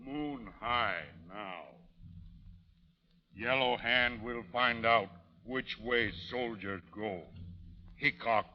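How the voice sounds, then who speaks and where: An actor playing a stern, threatening war chief in an old radio drama, speaking broken, clipped English in short commands. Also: male voice, mid-range voice, very slow, slight background noise. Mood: menacing. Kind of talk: 1930s-40s radio drama acting. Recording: muffled vintage radio recording, music underneath.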